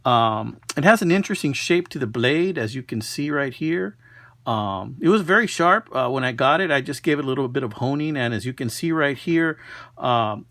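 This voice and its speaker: A man's voice: medium pitch, fairly bright tone, no echo. A man talking casually and unhurriedly, like a collector presenting an item in a homemade video. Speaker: knife collector presenting a find. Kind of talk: casual product showcase.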